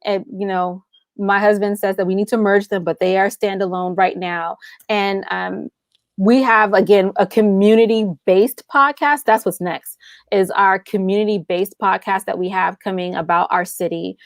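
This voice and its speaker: An American woman talks as a guest in a casual interview. Her voice sits at an average pitch and her delivery is enthusiastic and emphatic. The sound is dry, with very little room. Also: fairly even intonation, moderate pace, dry recording. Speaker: podcast guest, audio drama writer and producer.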